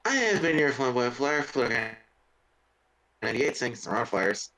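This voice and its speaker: A man's voice: mid-range voice, very animated, measured pace, pushed and bright. A man co-hosting a podcast in casual conversational chat. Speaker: podcast co-host.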